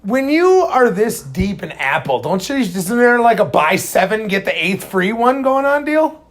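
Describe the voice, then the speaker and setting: Teasing booming-voiced man in a garage. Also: a little slow. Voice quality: booming, projecting voice. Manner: teasing, sarcastic, animated. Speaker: podcast guest. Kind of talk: casual chat. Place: home garage. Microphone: phone held away from the face.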